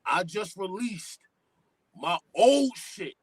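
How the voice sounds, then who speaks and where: A man, a guest hip-hop artist chatting on a podcast, animated, full of street slang and swearing, and talking at length. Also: slow pace, breathy edge, no room sound. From New York. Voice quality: deep voice. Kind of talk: casual podcast chat.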